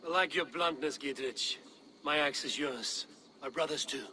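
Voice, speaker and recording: Casting: loyal, blunt Norse fighter in a game, sharp tone, challenging, slight ambient noise. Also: male voice, on the high side, normal speed. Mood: steady. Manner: direct, challenging. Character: blunt, skeptical Norse warrior, loyal brother.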